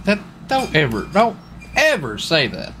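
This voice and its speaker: A man's voice: fairly high pitch, huge pitch swings, unhurried, background noise. A man bantering casually and teasingly in character during a police roleplay game. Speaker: roleplay gamer playing a lawman.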